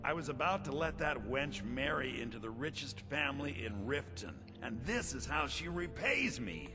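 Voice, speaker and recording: A man's voice: mid-range voice, big pitch swings, normal speed, music in the background. An actor playing a spoiled, lecherous young rich man, a glib and entitled schemer sitting in jail, in a fantasy video game. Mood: bitter, indignant. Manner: glib, entitled, self-pitying.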